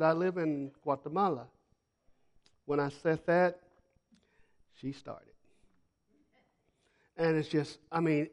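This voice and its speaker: A man preaching a sermon as a missionary, telling folksy travel stories, repeating phrases for emphasis and swinging from loud to hushed. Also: sits high. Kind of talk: sermon with storytelling.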